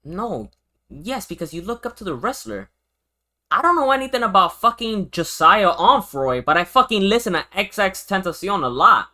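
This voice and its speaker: Casting: young male streamer from Venezuela, casual reaction chat, wide swings in pitch, sharp-edged tone, slangy and repetitive. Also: moderate pace. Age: young adult. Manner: animated, slangy, swearing, repetitive.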